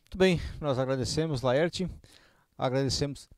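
A middle-aged man, hosting an interview in a halting way on a handheld studio mic. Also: medium pitch, dry recording. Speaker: interviewer, host. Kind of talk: local political interview. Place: small indoor studio. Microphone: handheld microphone.

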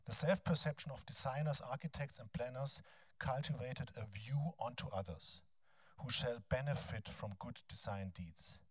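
A man in his fifties with a German accent gives a lecture in a large bright hall on a handheld mic, hesitating often with ums and restarts. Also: medium pitch, slightly rough. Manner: halting, frequent ums and restarts. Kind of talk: academic lecture, then reading a text aloud.

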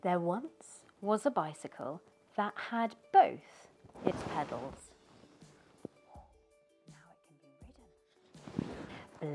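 A middle-aged British woman reading a picture book aloud to children in a living room, asking them questions as she goes. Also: mid-range voice. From United Kingdom. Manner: slow, sing-song storytelling with questions to young listeners.

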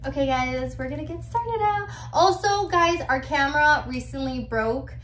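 Casting: chatty twenties woman, high voice, roomy echo, phone-recorded vlog. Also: lively intonation, normal speed, fairly bright tone. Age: 20s. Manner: chatty, lots of "like" fillers. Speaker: YouTuber. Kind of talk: casual chat. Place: bedroom. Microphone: phone camera. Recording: echoey room.

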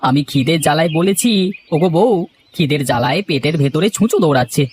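Exasperated village husband for comedy cartoon, played by a man: highish voice, dramatic grumbler, faint noise behind. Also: lively intonation, fairly quick, lightly acted. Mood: rueful complaining. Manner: complaining, melodramatic wailing. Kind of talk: Bengali comic cartoon dialogue.

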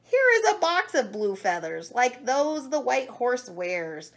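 A woman voicing a helpful, sneezy monkey from a children's storybook in a loud, exclaiming manner. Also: a little high, sing-song, slow pace, vocal fry, a little hiss or hum, pitched above the performer's own voice, exaggerated voice. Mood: excited.

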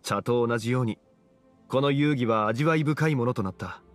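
An actor playing a calm, modest, scholarly gentleman in a Japanese game, with measured, old-fashioned formal speech. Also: medium pitch, faint music behind, close to an everyday voice. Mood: composed. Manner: archaic, measured, formal wording.

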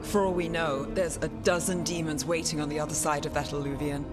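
An actress playing a cool-headed, dry-witted companion in a video game cutscene. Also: female voice, sits low, fairly even intonation, a little fast, over loud music, close to an everyday voice. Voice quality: dry, sardonic. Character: wry, cool-headed woman mage, dry wit.

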